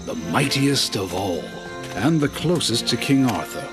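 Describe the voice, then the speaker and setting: A man's voice: mid-range voice, very animated, a little slow, music in the background. An actor voicing a haughty, scolding talking sword in a video game, formal and knightly in his phrasing. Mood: stern. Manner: pompous, formal, archaic phrasing. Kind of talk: video game cutscene acting.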